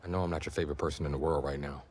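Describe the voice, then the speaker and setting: An actor playing an earnest young quarterback, conciliatory yet proud, in scripted video game dialogue. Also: male voice, extremely low pitch, fairly even intonation, very fast, a little mellow, slight background noise. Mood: apologetic. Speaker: actor in a football game's story scenes.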